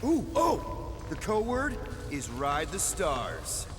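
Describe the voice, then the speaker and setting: High-voiced casual space adventurer. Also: male voice, huge pitch swings, takes it slowly, in a large hall, noisy background, heavily acted. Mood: wry, focused. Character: easygoing, wisecracking young male space adventurer. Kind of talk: video game voice acting.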